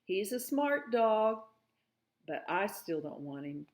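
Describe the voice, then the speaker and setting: A woman's voice: medium pitch, sing-song, takes it slowly. A woman voicing a stubborn older frontier boy with a grudging, folksy, protesting way of talking.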